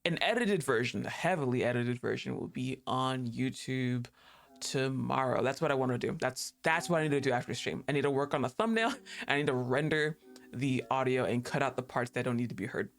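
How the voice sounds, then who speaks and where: A woman's voice: extremely low pitch, animated, brisk. A young woman streaming, chatting casually and rambling, laughing often and swearing offhandedly.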